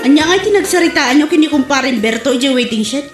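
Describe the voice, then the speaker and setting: A woman's voice: high-pitched, expressive, fast talker, roomy sound, over loud music. A woman playing a blunt, teasing village wife and gossiping friend in an Ilocano comedy drama, with an Ilocano Filipino accent. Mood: scolding.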